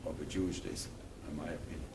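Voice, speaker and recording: Older man, low voice, halting and earnest, speaking to a class from some way off the mic with noise behind. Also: animated, gravelly. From Poland. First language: Polish. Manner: halting, restarts phrases, earnest. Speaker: guest speaker. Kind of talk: talk and Q&A with schoolchildren. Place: school room. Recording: distant mic with background noise.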